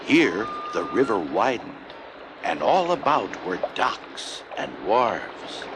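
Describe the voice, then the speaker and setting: A man narrating a scripted children's story, with expressive delivery and deliberate phrasing. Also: fairly low pitch, background noise. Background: loud music.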